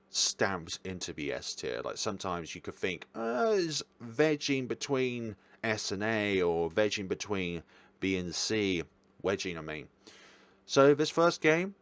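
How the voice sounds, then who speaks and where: A man's voice: on the low side, dry recording. A man giving a casual, unscripted review as a channel host, rambling and correcting himself with apologetic asides. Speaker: visual novel reviewer hosting own channel.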